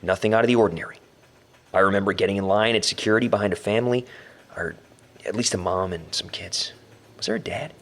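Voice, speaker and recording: An actor playing an anxious but bold young captive, muttering a halting spoken journal in an audio drama. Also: male voice, lowish voice, vocal fry, a bit bright. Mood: dazed, recalling. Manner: halting, muttering, narrating aloud to himself.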